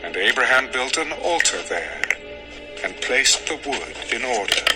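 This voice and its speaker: Casting: male narrator, mid-pitched, formal and measured scripture storytelling, clear music bed. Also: sing-song, rough recording. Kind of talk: solemn dramatized scripture narration. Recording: music under the voice.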